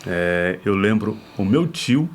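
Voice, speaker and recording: A man's voice: on the low side, animated, slow. Brazilian male podcast host, casual interviewer, tag-question habit. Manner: chatty, frequent tag questions.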